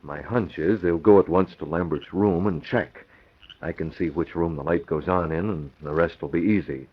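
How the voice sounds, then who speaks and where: A man's voice: sits low, normal speed, slightly rough. A man playing a commanding, shrewd masked crime-fighter in clipped, decisive old-time radio acting. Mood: calm, decisive. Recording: dull, lo-fi old radio recording.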